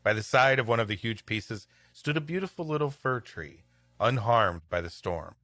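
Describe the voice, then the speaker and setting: Male podcast host, storybook narration, comic banter, bright tone, very lively intonation, dry sound. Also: mid-range voice, moderate pace, no echo, soft background music.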